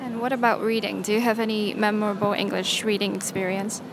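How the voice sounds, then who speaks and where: A woman asks follow-up interview questions at an ordinary, mid-height pitch. Her speech is plain and even. A clear background noise runs under the recording. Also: fast.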